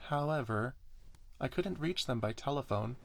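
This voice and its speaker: Storybook ASMR role: chatty, courteous female service robot, young and earnest, pitched up performed by a man. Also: animated, moderate pace, vocal fry. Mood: apologetic, courteous. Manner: verbose, courteous, formal. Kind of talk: soft ASMR story reading.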